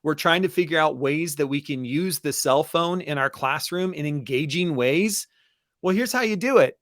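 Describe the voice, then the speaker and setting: Higher-pitched man, conversational presenter. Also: moderate pace, fairly bright tone, no room sound, clean studio sound. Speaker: webinar co-host, educator. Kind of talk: conversational, enthusiastic webinar talk.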